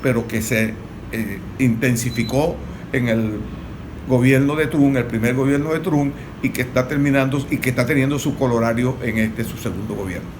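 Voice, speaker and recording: A Venezuelan man speaking as an analyst on a political panel, explaining in a didactic, measured way. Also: mid-range voice, steady tone. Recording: clearly noisy recording.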